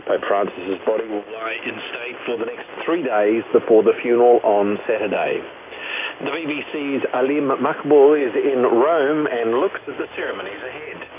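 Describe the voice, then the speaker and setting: A man's voice: mid-range voice, normal speed. Male New Zealand newsreader on noisy shortwave. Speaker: radio news presenter. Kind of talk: scripted news bulletin reading. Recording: noisy narrowband shortwave radio broadcast.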